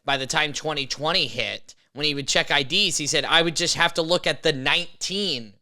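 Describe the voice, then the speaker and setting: Male co-host in his thirties, playful, bright and smooth voice. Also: on the high side. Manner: quick, laughing, playful. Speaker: podcast co-host. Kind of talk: casual chat.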